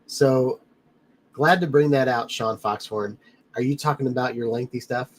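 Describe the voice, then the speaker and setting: A man's voice: mid-range voice, moderate pace, low-quality recording. Congested man chatting on a livestream. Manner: rambling, friendly, addresses viewers by name. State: congested, blocked-up nose. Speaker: livestream host reading chat. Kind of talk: casual live chat. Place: home studio.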